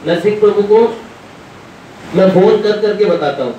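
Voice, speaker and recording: A man's voice: normal speed, dark tone, highs cut off. A man giving an informal devotional discourse as a spiritual teacher, telling anecdotes in a conversational, rambling way and often quoting dialogue. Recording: very echoey room, distant mic, rumbling noise.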